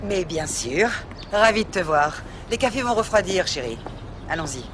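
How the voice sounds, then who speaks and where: A woman's voice: moderate pace, noisy background. An actress playing a haughty, condescending middle-aged mother. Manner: condescending, lively pitch.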